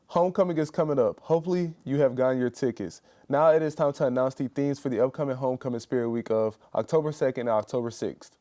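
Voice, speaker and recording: Young man, mellow tone, student news anchor, steady read-aloud announcements. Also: medium pitch, fast talker, few pauses.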